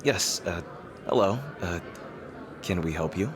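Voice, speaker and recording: A man playing a teasing rival king in an intimate dramatic roleplay, halting and smug yet easily flustered. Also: deep voice, a crowd in the background. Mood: polite, flustered. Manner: halting, teasing, with soft chuckles and pauses.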